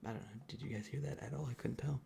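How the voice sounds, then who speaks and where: A soft-spoken, partly whispering ASMR streamer chatting casually and playfully with viewers into a close microphone, often repeating phrases. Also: mid-range voice, rapid-fire, gravelly, slight background noise. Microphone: close adjustable microphone.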